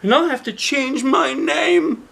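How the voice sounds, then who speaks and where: Dad doing a sad whiny little storybook girl, high pitched, really slow and mopey. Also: gravelly, a bit bright, heavily acted. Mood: miserable, tearful. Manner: mournful, whiny, drawn-out delivery. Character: miserable little girl who lost her giggle.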